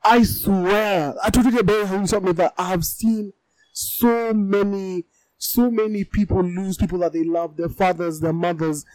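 A man's voice: sits high, moderate pace, dry recording, rough recording. Playful man on a podcast. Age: 20s. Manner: playful, jokey, repeats words when excited. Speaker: podcast co-host. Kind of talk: casual podcast chat. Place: podcast studio.

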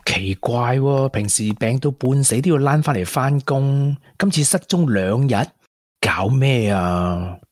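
A man's voice: mid-range voice, animated, measured pace, darkish tone, no room sound. An actor with a Hong Kong Cantonese accent playing a caring, playful young man in a radio drama, with a coaxing, colloquial manner. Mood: puzzled, concerned. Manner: coaxing, colloquial, with English interjections. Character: caring, playful, persistent young man wooing a grieving colleague.

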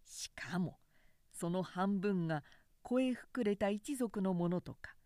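A woman reads literature as a professional narrator. Her delivery is dramatic and measured, with animated intonation and careful enunciation, in a dry, close recording. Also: medium pitch, no echo. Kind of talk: dramatic literary audiobook reading.

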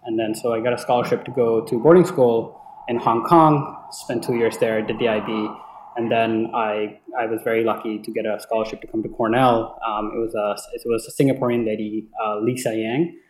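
A young man from Bangladesh whose first language is Bengali speaks at a middling pitch in an echoey room. He talks like an interview guest telling his story casually, hesitating and doubling back on words as he goes. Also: level tone, brisk, a little mellow, a little hiss or hum. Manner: hesitant, often repeating words. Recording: echoey, roomy sound.

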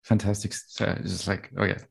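A man's voice: deep voice, little pitch movement, a little fast, vocal fry, breathy edge. A man hosting an interview, asking short questions and giving brief encouragement. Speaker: podcast host.

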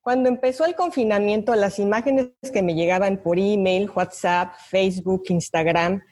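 A woman's voice: mid-range voice, normal speed, a little mellow. An older woman with a Mexican accent, a guest artist reading her prepared reflections aloud at an online talk. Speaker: invited panelist, visual artist.

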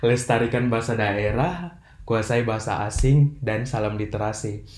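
A young man, a podcast guest, explaining in measured, formal phrasing with frequent fillers. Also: on the low side, a little mellow, slight background noise. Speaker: podcast guest, language ambassador. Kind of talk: earnest motivational explaining. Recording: roomy echo.

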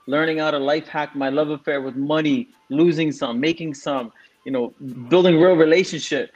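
A man's voice: fairly high pitch, dull audio. A man in his forties, from Singapore, speaking as a guest in a frank, casual way with many fillers. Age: 40s. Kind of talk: casual interview answer.

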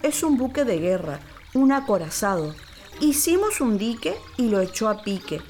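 A woman voicing an old, wise and sly male caiman in a children's storybook radio play, with deliberate theatrical delivery. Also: fairly high pitch, very animated, darkish tone, a little hiss or hum, pitched above the performer's own voice. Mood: earnest, pleading.

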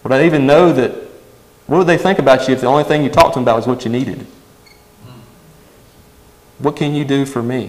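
A man's voice: mid-range voice, rough recording. A man preaching a sermon, earnest and emphatic, often asking his listeners "right?".

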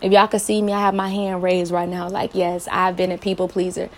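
A woman's voice: fairly low pitch, completely flat delivery, brisk. Earnest woman in her late twenties. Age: late 20s. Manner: earnest, encouraging, many rhetorical questions. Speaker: podcast host. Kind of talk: personal advice monologue.

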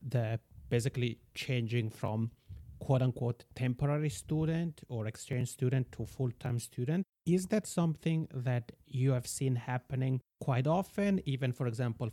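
A man from Switzerland hosts an interview podcast at a mid-range pitch. He asks friendly, long questions, and his voice is recorded dry and close. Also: a little mellow, no room sound. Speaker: podcast host.

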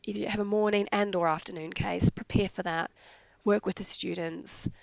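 A woman from New Zealand with a raspy voice from being sick, eagerly explaining her work in veterinary anaesthesia in a casual interview. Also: medium pitch, steady tone, normal speed, no room sound, muffled recording. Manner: enthusiastic, explains jargon in plain terms. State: raspy voice from illness.

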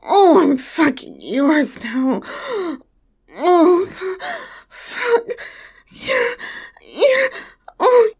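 A tall, teasing young lover, played by a woman murmurs in a soft, breathy whisper. Her voice sits high, and she takes her time over every word. It is close and personal, spoken straight to the listener. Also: takes it slowly. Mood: breathless heated. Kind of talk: intimate audio roleplay to the listener.

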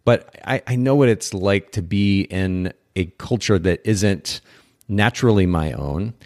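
A man's voice: deep voice, unhurried, darkish tone. A man hosting a podcast, reflecting on his own life and encouraging his guest. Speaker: podcast host. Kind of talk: casual podcast interview.

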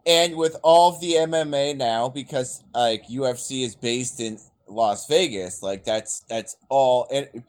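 A man co-hosting a casual movie podcast, pitching films with dry jokes. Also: unhurried, few pauses.

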